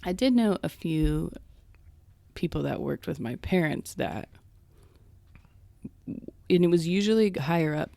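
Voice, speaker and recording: A woman co-hosting a podcast and stand-up comic, chatting casually, playful and quick to poke fun at herself. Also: fairly low pitch, lively intonation, vocal fry, warm tone, no echo. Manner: playful, laughs easily, self-mocking. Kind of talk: casual chat.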